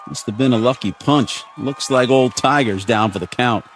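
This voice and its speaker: A man's voice: medium pitch, normal speed, slight background noise, natural voice. A man acting in a radio drama as a breezy, wisecracking crewman with a casual, colloquial way of talking. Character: breezy, wisecracking male junior crewman, slightly uneasy.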